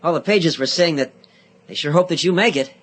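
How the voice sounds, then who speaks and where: A man's voice: a little high, a little fast, a bit bright. An actor in a radio drama playing an eager, chatty young man, boyish, polite and rambling. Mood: cheerful, chatty. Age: young adult. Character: eager, chatty young male Senate page.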